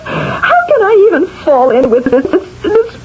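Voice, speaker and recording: An actress playing an exasperated, doubting wife in an old-time radio drama, with a pleading, melodramatic way of talking. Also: female voice, extremely high pitch, big pitch swings, unhurried, exaggerated voice. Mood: incredulous, scornful. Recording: muffled vintage radio, faint hiss.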